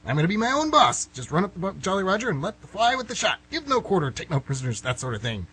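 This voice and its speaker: A man's voice: sits high, huge pitch swings, quick pace, sharp tone, husky, full character voice, poor audio. A man playing an eager, naive young student who rambles earnestly about his dreams in an amateur comedic radio play. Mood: eager, excited.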